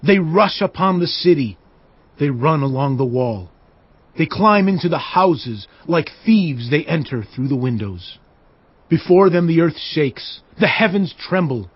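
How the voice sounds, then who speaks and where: A man's voice: a little high, husky, no room sound. A man narrating dramatized scripture with earnest, declamatory phrasing. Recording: dull lo-fi audio. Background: faint background music.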